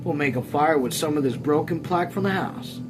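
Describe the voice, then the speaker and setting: A man's voice: on the high side, a little fast, noisy background, close to an everyday voice. A man reading the part of a blunt, hungry, plain-spoken man in a novel, with folksy rural wording. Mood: eager. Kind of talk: audiobook-style character reading. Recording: music behind.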